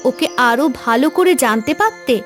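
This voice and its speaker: Woman acting gentle cartoon confidante, high voice, expressive intonation, reassuring manner, fairy-tale dub. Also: fairly quick, soft background music.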